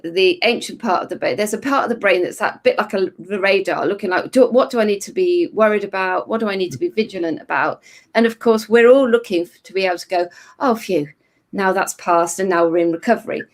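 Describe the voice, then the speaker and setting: A middle-aged woman therapist with a croaky voice, giving thoughtful explanatory answers as an interview guest, restarting phrases now and then. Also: medium pitch.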